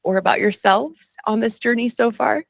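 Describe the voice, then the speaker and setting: A woman's voice: on the high side, normal speed, creaky, no echo, clean studio sound. A woman hosting a podcast interview, asking questions in a conversational way. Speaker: podcast host.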